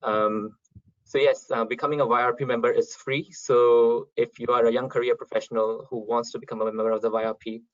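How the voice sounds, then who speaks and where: A man hosting an online event, polite and organised, pausing often with small hesitations. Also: lowish voice, no room sound. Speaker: event host. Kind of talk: webinar hosting. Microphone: video call. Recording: dull, dry online call audio.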